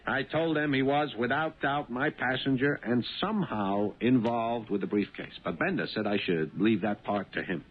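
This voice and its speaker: An actor playing an uneasy, honest working-class cab driver in a 1970s radio drama. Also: male voice, medium pitch, normal speed, hardly any pauses, slightly rough, natural voice. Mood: eager. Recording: tinny, band-limited 1970s radio broadcast.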